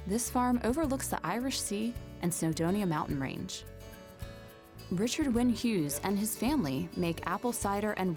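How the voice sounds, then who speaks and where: Upbeat woman narrating a travel show. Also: mid-range voice. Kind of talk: scripted narration.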